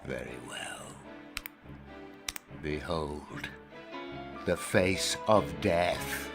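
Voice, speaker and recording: Deep-voiced, menacing opera phantom. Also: male voice, animated, vocal fry, over music, heavily acted. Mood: ominous. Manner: measured, formal, threatening. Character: menacing masked male opera ghost, imperious and theatrical. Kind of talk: full-cast audio drama acting.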